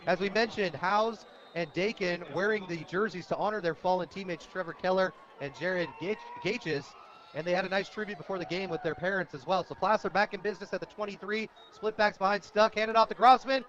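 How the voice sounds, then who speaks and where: Fast, high-pitched American sports announcer. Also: male voice. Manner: excitable on big plays. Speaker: play-by-play radio announcer. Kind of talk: live high school football commentary. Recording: dull, lo-fi outdoor radio broadcast.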